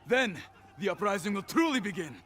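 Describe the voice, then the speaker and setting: A zealous rebel in a video game, briefing allies. His pitch is high for a male and moves around a great deal as he talks. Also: sing-song, a little fast. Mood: determined. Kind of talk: video game cutscene acting.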